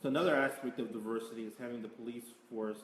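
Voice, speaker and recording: A man's voice: mid-range voice, steady tone, fast talker. Distant man moderating in an echoey hall. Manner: conversational, poses questions. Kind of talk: panel discussion. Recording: echoey room, voice from afar, faint hum.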